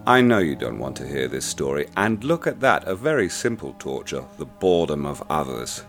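An actor playing a cold, sadistic man who speaks to a bound captive in a soft, measured, menacing way in a radio play. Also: rumbling low, sing-song, soft background music, exaggerated voice. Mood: silkily menacing.

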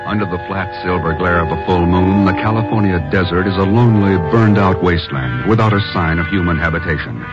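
Dramatic male radio announcer over loud music. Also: deep voice. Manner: formal, dramatic, measured. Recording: muffled old radio recording, loud music.

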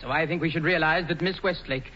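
Earnest, high-voiced young gentleman. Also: a little fast. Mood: solemn, indignant. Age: young adult. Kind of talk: 1940s radio comedy acting. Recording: muffled old radio broadcast with hiss.

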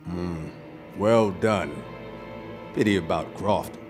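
A low-voiced superior officer in a radio play, speaking slowly and deliberately with quiet authority. Music sits under his voice. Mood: measured. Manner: slow, measured, authoritative. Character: commanding officer man, measured and stern. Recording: music bed under dialogue.

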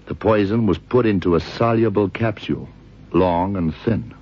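A man acting a tough, shrewd detective in a radio crime drama, deliberate and hard-boiled, with a deep husky voice. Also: a little mellow. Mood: confident, accusing. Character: tough, shrewd male detective exposing a killer. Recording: dull, lo-fi old radio recording.

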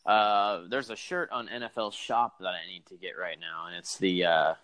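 A man's voice: sits low, fairly even intonation, moderate pace, fairly bright tone, dry recording. Laid-back young man, lots of filler. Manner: laid-back, filler-heavy, laughs easily. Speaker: podcast co-host. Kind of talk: casual chat.

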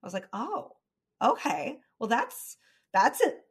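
A woman's voice: a little high, wildly swooping pitch, a little slow, husky. A young woman with a light Southern Californian accent hosting a podcast, chatting candidly and laughing often. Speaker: podcast host. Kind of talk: casual chat.

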